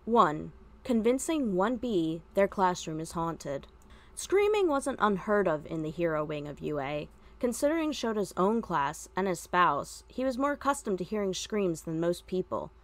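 A woman's voice: lowish voice, sing-song, moderate pace. A woman reading a story aloud as scripted narration. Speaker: fan fiction podfic reader.

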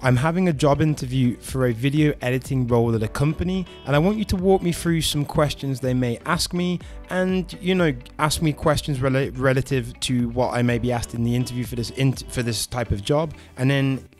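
A man's voice: medium pitch, brisk, hardly any pauses, a little mellow, faint music behind. A man in his thirties, hosting a casual tech vlog in an enthusiastic, chatty way from a home studio on a close desk microphone. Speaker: tech YouTuber host. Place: home studio room with colored lights.

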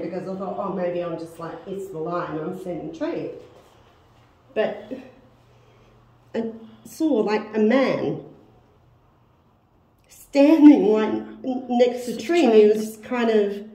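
A woman in her thirties hosting a paranormal investigation, chatting casually and calling out questions to spirits in halting phrases. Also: mid-range voice, animated, mellow. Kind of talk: casual talk while ghost hunting, questioning spirits aloud. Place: derelict brick building and its grounds at night. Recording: echoey, noisy, distant camera microphone.

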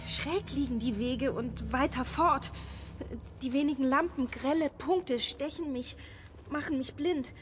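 A woman's voice: high-pitched, unhurried, slight background noise. An actress playing a frantic, overwrought mother in a radio drama, with an intense delivery. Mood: panicked, searching. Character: frantic, overwrought mother calling for her child. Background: music.

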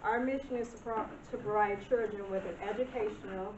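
A woman's voice: mid-range voice, steady tone, darkish tone, slight background noise. Nervous woman presenting at a board meeting. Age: 30s. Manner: nervous, halting, laughs at herself. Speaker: business presenter. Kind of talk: public comment at a meeting. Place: village board meeting room. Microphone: far camera. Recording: distant roomy lo-fi meeting video.

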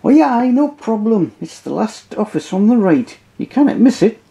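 An eager, chirpy railway porter with a squeaky, high voice, done by a man. He talks in a bright, helpful way, gravelly underneath, like a figure in a storybook. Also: big pitch swings, normal speed, heavily acted. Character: eager chirpy male ticket collector porter.

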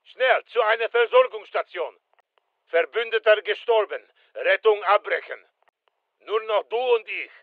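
A man's voice: high voice, a little slow, no echo, phone-quality sound. A male actor playing a terse special forces soldier in a military shooter game, giving clipped, commanding callouts. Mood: terse, businesslike.

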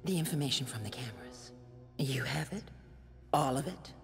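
A woman playing a sultry, sadistic policewoman villain with a breathy, mocking voice in a video game cutscene. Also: a bass voice, expressive, normal speed, over music. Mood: sultry mockery.

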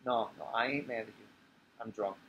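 A man's voice: fairly low pitch, normal speed, fairly bright tone, dull audio. Stage play: boozy elderly nursemaid, read by a man, sentimental and salty, rambling fondly, some noise behind. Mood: doting, maudlin. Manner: rambling, coarse, doting. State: acting drunk. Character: drunken old woman, sentimental, coarse former nursemaid.

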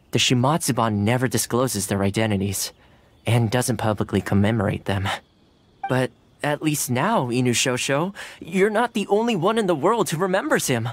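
A man's voice: mid-range voice, lightly acted. An actor playing a kind, friendly young man in a video game, with a reassuring way of talking. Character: kind, upbeat young swordsman fond of dogs. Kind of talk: video game character dialogue. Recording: music behind the voice.

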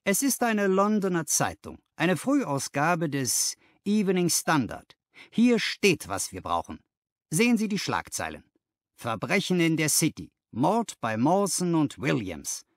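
A man voicing an excited, commanding detective in an audiobook, with emphatic exclamations. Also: a little high, measured pace, no echo, pitched above the performer's own voice. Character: excited, commanding male detective, sharp and assured. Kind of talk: audiobook character dialogue.

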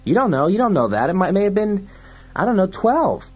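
Audiobook sidekick: male narrator as motor-mouthed male crook, high, husky, aggrieved, wisecracking, easily panicked. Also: big pitch swings, a little fast, darkish tone, heavily acted. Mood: argumentative. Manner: motor-mouthed, complaining, stammering. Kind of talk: dramatised audiobook science-fiction dialogue.

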